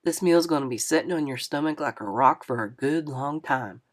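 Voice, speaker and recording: A woman doing a low, teasing older man. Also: normal speed. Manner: drawling, playful ribbing.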